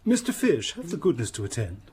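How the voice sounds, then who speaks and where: A male actor playing a pompous, wealthy English gentleman in a period radio drama, blustering, hesitating and sermonizing. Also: fairly high pitch, wildly swooping pitch, fairly quick, slight background noise. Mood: pompous, imperious. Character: pompous, wealthy, self-satisfied gentleman and member of parliament.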